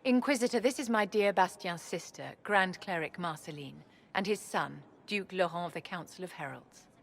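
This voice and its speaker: An actress playing a scheming aristocratic enchantress in a game, imperious and silkily condescending. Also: female voice, fairly low pitch, moderate pace. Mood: gracious, poised. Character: haughty, scheming aristocratic enchantress courtier, poised. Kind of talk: dramatic game acting.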